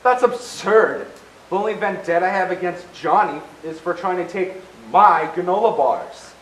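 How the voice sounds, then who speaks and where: A man's voice: sits high, moderate pace, fairly bright tone. A young man acting a defensive, indignant character in an amateur one-act stage play. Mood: defensive, dismissive. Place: indoor stage, recorded from a distance. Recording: echoey stage, distant mic, faint noise.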